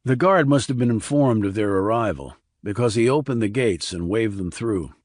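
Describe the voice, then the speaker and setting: A man with a low voice narrates a thriller audiobook. His delivery is measured and descriptive, and the sound is dry and close. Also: darkish tone, no room sound.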